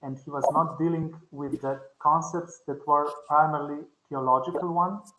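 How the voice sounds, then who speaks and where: Man, Slovenian native speaker, fairly high voice, halting academic talk, roomy echo. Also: fairly even intonation, background noise. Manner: halting, hesitant, many restarts. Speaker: conference panelist. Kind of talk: academic discussion. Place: conference session.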